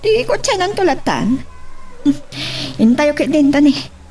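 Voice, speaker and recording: Actress as lively woman for an Ilocano romance serial, slightly high and highly expressive pitch. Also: a little slow, full character voice. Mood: busy, chatty. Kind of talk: Ilocano radio drama acting.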